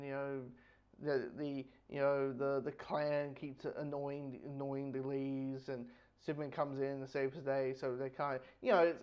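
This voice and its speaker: A man giving a casual, rambling review, with halting delivery, frequent false starts and repeated words. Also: mid-range voice. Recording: dull lo-fi microphone sound.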